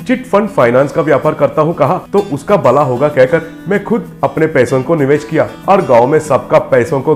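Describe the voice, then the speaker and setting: A man's voice: medium pitch, a little mellow, natural voice. A man playing a polite, trusting village family man in a comic story, earnest and pleading in manner.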